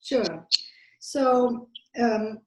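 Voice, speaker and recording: A woman from Germany with a German accent, answering interview questions in a measured way with frequent ums. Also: a little high, darkish tone, roomy sound, poor audio. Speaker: guest.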